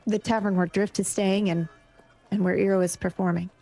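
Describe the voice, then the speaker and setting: A woman playing a tabletop game in character, talking in a quick, persuasive, chatty way. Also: mid-range voice, level tone, creaky, mellow, soft background music. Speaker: player.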